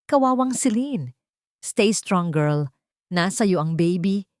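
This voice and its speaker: A woman's voice: lowish voice, animated, takes it slowly, a little mellow, dry recording, clean studio sound. A woman voicing gossiping, judgmental social media commenters in a story. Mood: catty.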